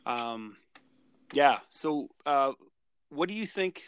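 A man's voice: mid-range voice, expressive, slow, clean tone. Rambling man on a podcast. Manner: rambling, many restarts and fillers. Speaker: podcast co-host. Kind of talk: casual podcast discussion.

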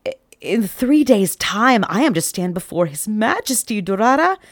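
A woman's voice: lowish voice, lively intonation, normal speed, no echo, studio quality. A woman voicing a poor, anxious young man who stammers and frets. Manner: stammering, fretful.

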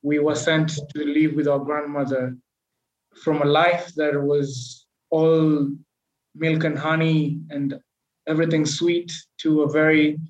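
A young man tells his own story in an earnest, measured way. His voice sits a little high and stays flat, with little rise and fall. The recording is echoey and dull, like a roomy lo-fi mic. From Kenya. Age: 20s. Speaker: podcast guest. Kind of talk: personal storytelling in an interview.

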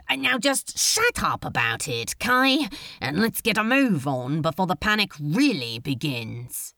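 A woman's voice: on the low side, big pitch swings, a little slow, vocal fry, bright, forceful tone, no room sound, clean studio sound, voice pushed down, full character voice. A woman voicing an exasperated, sardonic male demon in an audiobook, drawling and grumbling with grudging impatience. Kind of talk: audiobook character voice.

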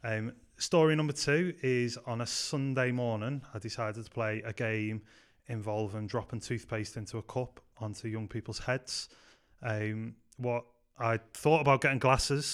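A man with a Scouse accent from Liverpool, a youth pastor telling stories in a casual podcast chat with many ums. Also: medium pitch. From Liverpool, England. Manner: chatty, frequent "um", animated anecdotes. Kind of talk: casual podcast interview storytelling.

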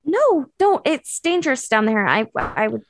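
A woman's voice: sits high, sing-song, measured pace, no echo, clean studio sound. A woman chatting casually in character as a player in a tabletop game.

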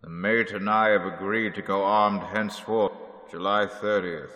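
A man's voice: lowish voice, fairly even intonation, moderate pace, a bit bright, big-room sound, dull audio, close to an everyday voice. A man playing a grim sea captain. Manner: clipped log-entry delivery. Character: weary ship captain keeping a grim log. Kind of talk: 1930s radio drama reenactment.

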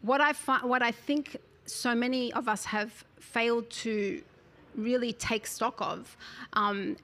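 Woman, interview guest, articulate, earnest, fillers, slightly high voice. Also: steady tone, takes it slowly, fairly bright tone. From Australia. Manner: articulate, frequent "you know" fillers, earnest. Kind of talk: conversational interview.

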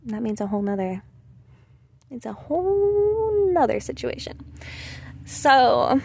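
A woman's voice: high-pitched, sing-song, slow pace, vocal fry, mellow, slight background noise. Sweet-voiced chatty young woman. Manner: chatty, frequent "like" and "you know", emphatic. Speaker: vlogger. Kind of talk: casual venting monologue to listeners.

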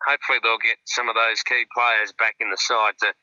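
A man with an Australian accent giving relaxed, chatty football predictions on a radio show, with fillers and restarts. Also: lowish voice, fairly quick, dry recording, lo-fi sound. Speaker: football pundit, regular guest. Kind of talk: casual radio sports analysis.

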